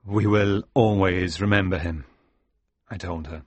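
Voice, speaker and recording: A man acting as a sombre sailor looking back on his past in an audiobook. His voice is very low and sits close and dry, and he tells his story with grave, measured care. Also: fairly even intonation, darkish tone, no echo. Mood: subdued, grave. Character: Marlowe, sombre reflective male sailor recounting his past. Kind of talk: dramatised audiobook narration.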